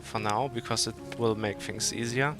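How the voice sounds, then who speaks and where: A man playing a strategy game live and calmly explaining his moves. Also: lowish voice, moderate pace, over music. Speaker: player demonstrating the game. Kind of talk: explaining gameplay while playing.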